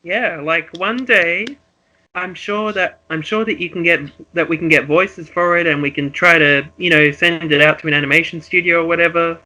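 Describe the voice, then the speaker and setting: A man's voice: fairly high pitch, fairly even intonation, moderate pace, bright, forceful tone, rough recording. A man chatting casually as a podcast guest, rambling and giggly as he talks. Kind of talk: casual chat.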